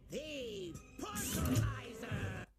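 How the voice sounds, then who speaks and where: A man's voice: extremely high pitch, wildly swooping pitch, extremely slow, gravelly, over music, full character voice. An actor playing a grandiose, screechy male robot supervillain from a cartoonish video game, proclaiming his inventions. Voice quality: screechy, theatrical. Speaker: game character. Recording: game audio under stream noise.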